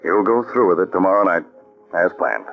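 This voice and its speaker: An actor playing a firm, calm counterspy chief in an old radio drama, speaking in a measured, authoritative way. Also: male voice, deep voice, vocal fry, soft background music, exaggerated voice. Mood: sympathetic. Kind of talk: 1940s radio acting. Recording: dull lo-fi old radio recording.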